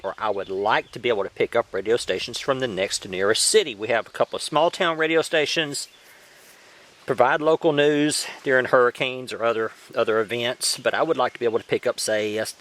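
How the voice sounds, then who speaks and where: A man's voice: mid-range voice, a bit bright. A man giving a casual product review in a plain-spoken, emphatic way, repeating phrases for stress.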